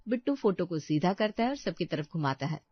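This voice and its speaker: A woman's voice: on the low side, big pitch swings, fairly quick, slightly rough, no echo, muffled recording. A woman narrating a scripted story.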